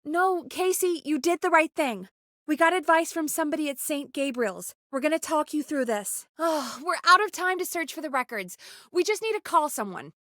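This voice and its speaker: A woman's voice: high-pitched, lively intonation, moderate pace, fairly bright tone, no echo, studio quality. A woman playing an earnest, urgent radio producer in a video game, conversational and pressing in naturalistic dialogue. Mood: reassuring, hurried.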